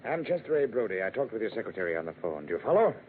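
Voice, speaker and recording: Pompous importer, florid, emphatic, swinging intonation, vintage radio drama, noisy band-limited recording. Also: male voice, fairly low pitch, very animated, very fast, phone-quality sound. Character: pompous, insistent male importer, a business client. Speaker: radio actor playing a client. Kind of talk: 1940s radio detective acting.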